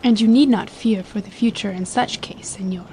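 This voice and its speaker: A woman's voice: mid-range voice, moderate pace, mellow, slight background noise, close to an everyday voice, low-quality recording. A woman playing a proud, defiant young noblewoman in a dramatic audiobook, speaking with formal, impassioned dignity. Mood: firm, resolute. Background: ambience under the voice.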